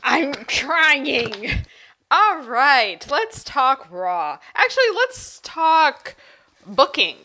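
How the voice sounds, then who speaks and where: Host, woman, bright and lively voice, steers the discussion. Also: high voice, drawn-out words. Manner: steering the talk, asks questions. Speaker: podcast host. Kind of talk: hosting casual wrestling chat.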